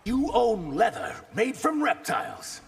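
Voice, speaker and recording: Echoing, expressive earnest reptilian man. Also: very high voice, measured pace, slightly rough, full character voice. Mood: earnest, guarded. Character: earnest shape-shifting reptilian humanoid man, proud and haunted. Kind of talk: video game character dialogue. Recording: hall reverb with background noise.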